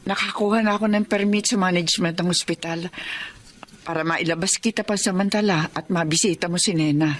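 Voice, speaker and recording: An actress playing a concerned, supportive aunt in a Tagalog radio drama, with a gentle, solemn manner. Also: female voice, on the low side, steady tone, fairly quick, close to an everyday voice. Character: older aunt, concerned, steady, supportive family woman.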